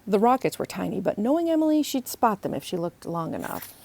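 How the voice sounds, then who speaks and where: A woman, an author, reading her children's book aloud in an expressive storybook narration. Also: medium pitch, brisk, creaky.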